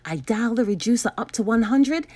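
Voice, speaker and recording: A woman reading a children's storybook aloud expressively, enunciating clearly with dramatic emphasis. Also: fairly high pitch, expressive, a little fast, dark tone. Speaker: storybook reader.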